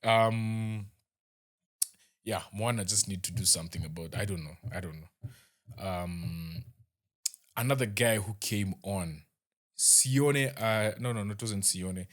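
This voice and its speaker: A man's voice: fairly low pitch, a bit bright. Chatty young Kenyan man. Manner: chatty, halting, with many fillers and restarts. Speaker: rugby show host. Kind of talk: casual match review.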